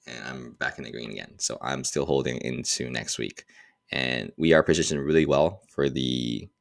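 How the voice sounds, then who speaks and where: A man giving a casual market update as a trader, talking informally and thinking aloud in a halting way as he goes. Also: rumbling low, vocal fry, a bit bright. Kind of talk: casual screen-share market commentary.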